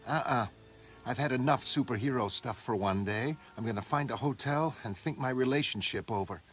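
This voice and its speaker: A man's voice: mid-range voice, a little fast, natural voice. Man voicing a weary, tough young superheroine. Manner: casual, wry, weary. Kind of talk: motion comic character acting. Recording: music behind the voice.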